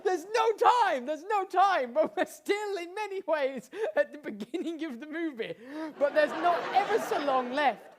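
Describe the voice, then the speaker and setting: A woman's voice: high-pitched, animated, normal speed, few pauses, over a noisy crowd, heavy background noise. A woman acting as director and narrator of a live comic stage show, interrupting and stumbling over repeated words. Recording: live stage, faint music and audience.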